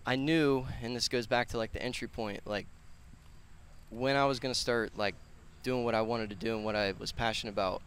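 Earnest young man with a clear voice. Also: mid-range voice, fairly even intonation, a little fast, fairly bright tone. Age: 20s. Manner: earnest, rambling, slangy. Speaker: podcast guest, personal trainer and gym owner. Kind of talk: casual interview answers. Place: outdoors on a concrete patio. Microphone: headset.

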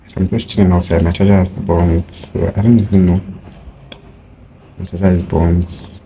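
Soft-spoken deep-voiced young man. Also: little pitch movement, moderate pace, a little hiss or hum. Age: late teens. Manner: soft-spoken, low-key and rambling. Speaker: vlogger. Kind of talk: casual vlog diary. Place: outdoors by a brick wall. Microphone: phone held at arm's length.

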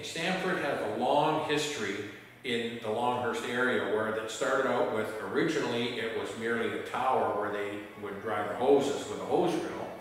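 Man talking in an echoey theatre. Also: medium pitch. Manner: relaxed, conversational, with frequent uh fillers. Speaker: theatre representative. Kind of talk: documentary interview. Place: empty small theatre auditorium. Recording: very echoey hall with music behind.

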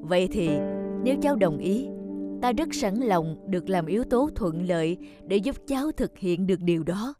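A man voicing a stern but kindly middle-aged contest judge in a cartoon, measured and formal. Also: high voice, lively intonation, music in the background. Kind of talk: cartoon voice acting.

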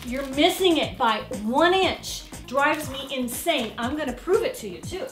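High-voiced chatty woman cooking host. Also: animated, a little slow, echoey room, far from the mic, over music. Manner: chatty and playful, often laughing, with sound effects like "bing". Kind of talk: casual cooking demonstration. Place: home kitchen.

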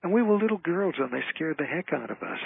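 An older man with a husky voice, telling anecdotes casually as a guest in a radio interview. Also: fairly high pitch, animated, quick pace, no room sound. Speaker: guest, author and comedy emcee. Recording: tinny, narrow phone-line sound.

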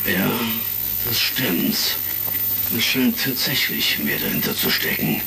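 Very deep, grave intelligence chief. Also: male voice, wildly swooping pitch, slow, gravelly, over music, exaggerated voice. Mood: cautious. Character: cautious male intelligence chief, formal and grave. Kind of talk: retro sci-fi audio drama acting. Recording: distant, noisy vintage record crackle.

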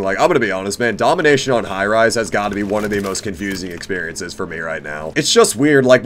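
Nasal, congested man, low voice. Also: a little hiss or hum. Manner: chatty and relaxed, with wry asides. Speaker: gaming channel host. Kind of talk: casual gameplay commentary.

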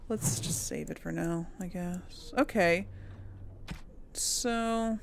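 Woman, casual gaming commentary, unhurried, noticeable vocal fry, hesitant thinking aloud, faint game ambience. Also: fairly low pitch, a little hiss or hum. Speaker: gaming video host.

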